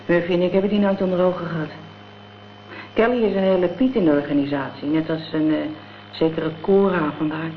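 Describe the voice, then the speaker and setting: A woman's voice: lowish voice, a little fast, natural voice. An actress playing a gangster's tough, vengeful girlfriend in a radio drama, talking in blunt, scornful slang. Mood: matter-of-fact. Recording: dull, noisy old radio play recording.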